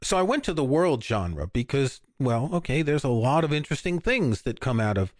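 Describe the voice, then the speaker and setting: An older man chats casually as co-host of a music podcast. His voice sits at a middle pitch and sounds dry and close to the mic. He rambles a little and restarts his sentences often. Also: moderate pace, no room sound. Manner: relaxed, rambling, many restarts. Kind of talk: casual chat.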